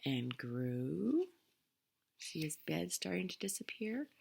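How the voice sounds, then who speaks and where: A woman's voice: on the low side, slow pace, gravelly, noisy background. A woman reading a storybook aloud expressively, with chatty asides for young listeners. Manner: expressive, dramatic emphasis on story lines. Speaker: picture book reader.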